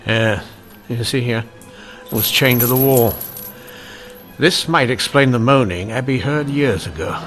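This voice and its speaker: An actor playing a shrewd, dryly witty police inspector in a radio mystery drama, speaking in a measured, deliberate way. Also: male voice, medium pitch, a bit bright, soft background music, natural voice. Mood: intrigued, cautious. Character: shrewd, dryly wry male police inspector in 1890s Canada. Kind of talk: old-time radio mystery drama acting.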